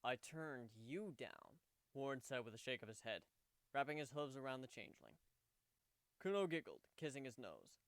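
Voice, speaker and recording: A man's voice: mid-range voice, lively intonation, fairly quick, clean tone, dry recording. A man narrating a scripted story as a dramatic reading.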